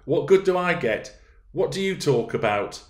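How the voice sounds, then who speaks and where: Male reader as teenage girl, raised pitch, peevish, haughty, scolding, storybook character, roomy echo. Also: big pitch swings, moderate pace, a little mellow. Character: petulant, haughty teenage girl, easily irritated. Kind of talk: audiobook character voice.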